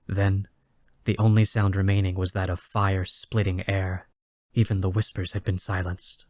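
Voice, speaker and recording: A man reads dramatic fiction as its narrator. His voice is low and stays even, with measured literary phrasing, in a close, dry recording. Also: no echo. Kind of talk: scripted dramatic story narration.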